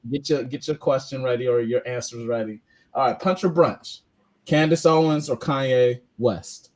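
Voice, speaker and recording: A man's voice: mid-range voice, normal speed, a little mellow, low-quality recording. A man co-hosting a podcast, talking in a loose, rambling way with many fillers. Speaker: podcast co-host. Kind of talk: casual chat.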